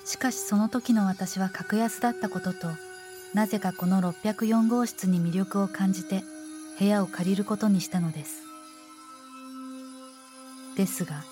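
A woman narrating a scripted horror radio drama in the first person, in a measured, formal storytelling manner. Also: medium pitch, fairly even intonation, over music.

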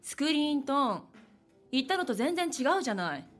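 A woman's voice: high-pitched, sing-song, a little fast, soft background music. An actress playing a stern, demanding female manga artist boss in a radio drama, curt and condescending. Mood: stern, scolding.

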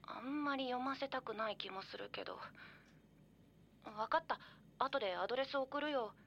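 Muffled as if over a phone, a high young female voice plays a worried best friend in a horror radio drama. She is caring and hesitant. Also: normal speed, fairly bright tone, a little hiss or hum. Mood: worried, reluctant. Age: young adult. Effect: telephone voice filter. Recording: band-limited, phone-call sound.

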